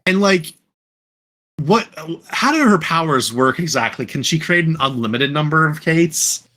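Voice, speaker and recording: A man in a casual podcast chat, talking in an animated way and thinking aloud with questions. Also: a little high, measured pace. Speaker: podcast co-host.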